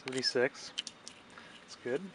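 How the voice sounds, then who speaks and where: A man giving a casual technical demonstration, thinking aloud as he works. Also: measured pace. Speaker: radio restorer narrating his own repair. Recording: clear background noise.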